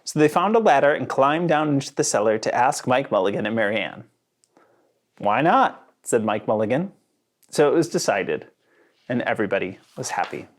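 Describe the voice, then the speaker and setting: Children's storybook narrator, lively intonation, dramatic emphasis, middle-register voice. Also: mid-range voice. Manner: dramatic, with sound words and slowed emphasis. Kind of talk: expressive children's storybook read-aloud.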